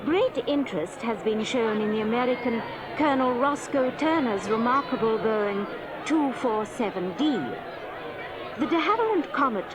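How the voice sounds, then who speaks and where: A woman plays a formal 1930s radio commentator at an air field. Her voice sits a little high and runs brisk and announcing. A loud crowd roars behind her. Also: expressive, noisy background, lightly acted.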